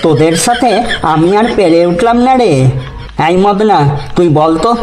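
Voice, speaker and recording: A man's voice: high-pitched, normal speed, lightly acted. A man voicing an exasperated, scolding village schoolteacher in a comedy cartoon, full of theatrical exclamations.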